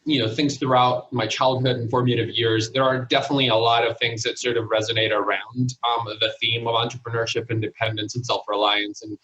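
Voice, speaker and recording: A man's voice: medium pitch, flat delivery, fairly quick, few pauses, fairly bright tone, slightly rough, dull audio. A man from Italy whose first language is Italian, speaking English with an Italian accent as a guest in a casual interview, thoughtful and hesitant with many fillers. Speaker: guest entrepreneur.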